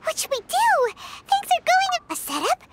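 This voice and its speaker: A tiny, excitable fairy girl sidekick in a video game. The childlike voice is extremely high and squeaky and swoops wildly, with loud background noise behind it. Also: a little slow, exaggerated voice. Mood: worried. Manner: squeaky, childlike, refers to self in third person.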